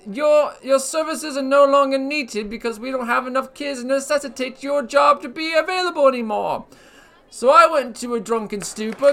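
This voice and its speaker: Guy putting on a super high squeaky game show host, rambly and blustery, bright sharp tone. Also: a little hiss or hum, full character voice. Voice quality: high squeaky put-on voice with slangy blustering delivery. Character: rambling laid-off cousin hosting a game show, man. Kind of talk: comedic character monologue on a podcast. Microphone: podcast mic.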